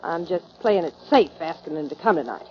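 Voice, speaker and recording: An actress playing a tough, sharp-tongued female rodeo rider, emphatic and pointed in 1940s radio style. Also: sits low, lively intonation, fairly quick, soft background music. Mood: biting, defiant. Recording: dull, noisy 1940s radio recording.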